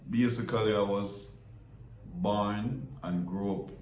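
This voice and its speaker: A man's voice: on the low side, fairly even intonation, a little mellow, slight background noise. A man with a Jamaican accent hosting a casual chat, recounting his own story. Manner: brief murmured agreement, then slow recounting. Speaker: host. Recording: roomy echo from a distance.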